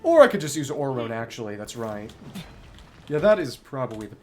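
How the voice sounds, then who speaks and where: A man's voice: mid-range voice, very animated, fairly quick. A man doing live gameplay commentary as a streamer, talking analytically through tactics to himself. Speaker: game streamer. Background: music behind the voice.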